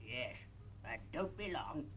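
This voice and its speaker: A man's voice: fairly high pitch, expressive, slow, a bit bright. An actor playing a shady old man selling a toy in a children's puppet show. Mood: sly, coaxing. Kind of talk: 1960s British children's puppet show dialogue. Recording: noisy old 1960s television soundtrack.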